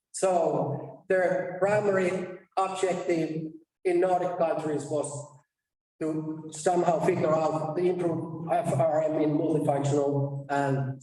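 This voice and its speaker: A man's voice: a little high, warm tone. Man presenting in an echoey hall. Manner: halting, pausing mid-sentence to restart. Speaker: conference presenter. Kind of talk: academic conference presentation. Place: conference session room. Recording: echoey room, distant mic.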